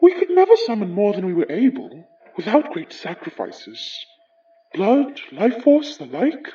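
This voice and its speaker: A woman's voice: mid-range voice, big pitch swings, a little slow, warm tone, recorded from a distance, muffled recording. A woman playing a haughty, bitter aristocratic ghost in a horror audio drama, speaking formally and theatrically with a British accent. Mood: cold, measured.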